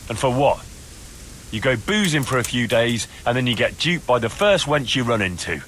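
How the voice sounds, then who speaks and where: An actor playing a stern medieval soldier in a video game, questioning and scolding with a sardonic edge. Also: male voice, mid-range voice, big pitch swings, normal speed, a bit bright, a little hiss or hum. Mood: scornful, scolding. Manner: reproachful, sardonic. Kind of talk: video game cutscene acting.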